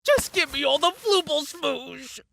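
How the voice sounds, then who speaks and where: A woman voicing a vain, greedy, excitable cartoon pet with a creaky, piercing voice and theatrical, gushing exclamations. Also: high voice, unhurried, dry recording, heavily acted. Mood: greedy excitement.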